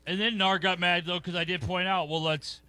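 A man's voice: high voice, little pitch movement, bright, forceful tone, clear, resonant tone, no room sound. A man co-hosting a radio talk show, teasing and laughing through casual banter. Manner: laughing, teasing, quick retorts. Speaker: co-host.

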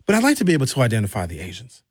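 A middle-aged American man hosting a radio talk show in an informal, opinionated storytelling style, colloquial and animated, on a close studio mic. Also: mid-range voice, rapid-fire, slightly rough, no room sound. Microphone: close studio microphone.

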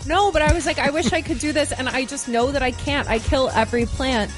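A woman's voice: high-pitched, brisk, music in the background. A woman co-hosting a radio show, chatty and agreeable in casual talk. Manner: chatty, laughs easily, agreeable. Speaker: radio co-host. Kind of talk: casual on-air chat.

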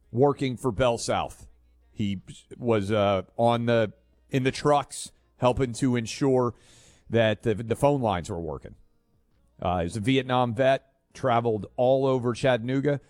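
A man's voice: mid-range voice, no room sound, clean studio sound. A man hosting a talk radio show, speaking in a conversational, opinionated way. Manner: conversational, opinionated, fillers and restarts. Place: radio studio. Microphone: studio mic.

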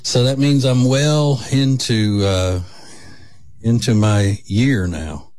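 A man's voice: mid-range voice, darkish tone, dry recording. A man, hosting a casual morning radio show from a studio and drawing out his teasing stories. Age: 50s. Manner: drawn-out, teasing storyteller.

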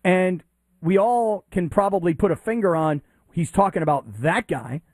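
A fairly high-pitched man co-hosting sports radio makes his points bluntly and with emphasis. His voice sounds dry and close to the mic. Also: expressive, moderate pace, a little mellow, no echo. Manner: blunt, emphatic, crude asides. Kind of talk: morning sports radio debate.

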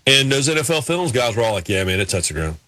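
Male co-host, bright dry voice, rambling self-deprecating storyteller. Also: mid-range voice, very animated, fast talker, no echo. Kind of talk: casual sports talk.

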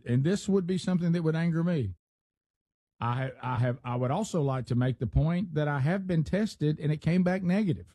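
A man's voice: fairly high pitch, a little fast, warm tone, no room sound. A man hosting a talk radio show, reading out a news story and adding his own asides in casual banter. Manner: reads news aloud with asides.

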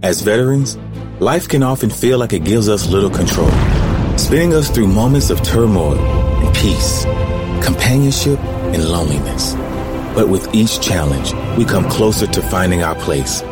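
A man, a veteran, giving a calm, reassuring ad voice-over. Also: fairly low pitch, moderate pace, over loud music.

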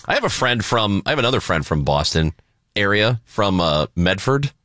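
Animated bright-voiced male radio co-host. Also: lowish voice, moderate pace, dry recording, clean studio sound. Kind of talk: casual banter on a talk radio show. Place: radio studio. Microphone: close studio broadcast microphone.

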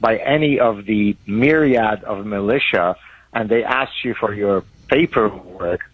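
A man's voice: fairly low pitch, measured pace, breathy edge, phone-quality sound. A man in his sixties from Lebanon, a professor and author explaining his ideas as a radio guest. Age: 60s. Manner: articulate, lecturing explanations. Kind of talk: radio interview.

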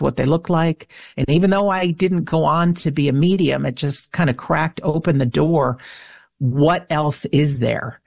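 A middle-aged woman with a low voice and noticeable vocal fry. She talks in an earnest, emphatic way, like a talkative interview guest, and the recording is dry and close. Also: a little mellow, dry recording. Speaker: interview guest, afterlife show host and author. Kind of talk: conversational radio interview, personal storytelling.

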